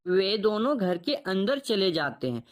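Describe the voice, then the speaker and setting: A woman narrating a children's cartoon story in an even, scripted storytelling tone. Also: mid-range voice, unhurried.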